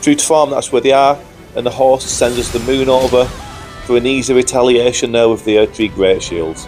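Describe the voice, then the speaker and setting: A young man talks through his game and his gear in a chatty, upbeat way. A cold leaves his mid-pitched voice slightly croaky and hoarse. Faint music plays underneath. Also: steady tone, brisk, darkish tone. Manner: upbeat, chatty, says "guys" often. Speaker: gaming YouTuber. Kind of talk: gameplay commentary.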